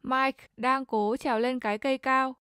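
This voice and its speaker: A woman's voice: high-pitched, fairly even intonation, normal speed, sharp tone, clear, resonant tone, no room sound. Woman reading short translated lines, dry. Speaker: translation reader.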